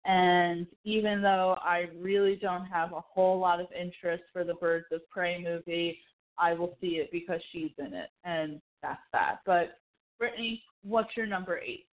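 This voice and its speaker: A woman's voice: fairly low pitch, moderate pace. A woman co-hosting a casual chat show, giving her opinions in an informal, conversational way. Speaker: podcast co-host. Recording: thin, phone-like band-limited audio.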